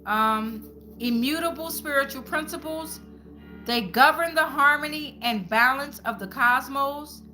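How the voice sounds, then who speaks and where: A woman's voice: high-pitched, unhurried, bright, forceful tone, faint music behind. A woman host giving an informal spiritual tarot reading to viewers, speaking colloquially and repeating phrases like incantations. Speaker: tarot reader and channel host.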